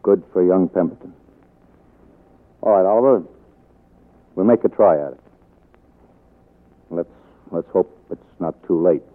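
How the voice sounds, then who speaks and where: An American actor playing a charming, faltering film star husband in a 1940s radio drama, fond but wounded, with hesitant, halting speech. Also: male voice, sits low. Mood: subdued, hurt. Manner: hesitant, halting, stammered repeats. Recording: muffled 1940s radio broadcast recording.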